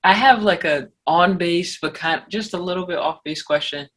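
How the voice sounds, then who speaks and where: A woman with a low-pitched voice that people often take for a man's, co-hosting a casual podcast chat and talking in a hesitant way, with many restarts and fillers. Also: normal speed, a bit bright. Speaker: podcast co-host.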